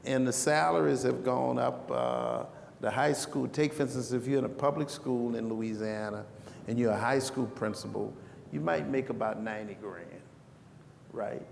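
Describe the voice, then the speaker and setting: A man's voice: medium pitch, expressive, moderate pace, vocal fry. A man answering questions on a conference panel, conversational, often restarting his sentences. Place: conference breakout room. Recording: echoey hall with background noise.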